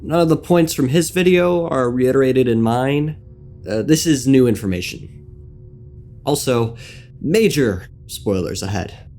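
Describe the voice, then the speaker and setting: A man's voice: medium pitch, animated, a little slow, mellow. A young man narrating a scripted comedic video essay on game lore in a playful, mock-serious manner. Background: background music.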